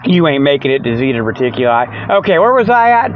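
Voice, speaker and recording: A man's voice: mid-range voice, very animated, fast, slight background noise. A man hosting a radio show in a rambling, folksy, jokey monologue full of homespun exclamations. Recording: muffled, dull lo-fi radio stream.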